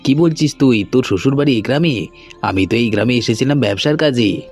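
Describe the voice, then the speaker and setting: A man's voice: mid-range voice, animated, a little fast, darkish tone, natural voice. A man playing a friendly young businessman in a cartoon, talking in a casual, conversational way. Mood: surprised. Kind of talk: cartoon dialogue acting. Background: background music.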